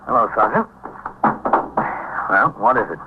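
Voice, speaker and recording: An actor playing a world-weary, commanding police captain who narrates tersely in a hard-boiled 1950s radio drama. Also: male voice, medium pitch, animated, measured pace, very noisy, natural voice. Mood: brusque. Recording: muffled 1950s radio recording.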